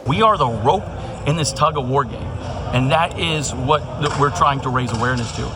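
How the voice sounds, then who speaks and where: A man's voice: medium pitch, moderate pace. A man speaking as a union leader in a news clip, urgent and emphatic. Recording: clip with clear background noise.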